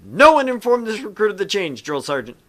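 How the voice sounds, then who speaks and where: An earnest young male recruit in a visual novel, played by a streamer. He is hesitant and self-conscious, prone to grumbling, with a bright voice that moves freely up and down. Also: mid-range voice, sing-song, brisk, pitched above the performer's own voice. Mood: anxious.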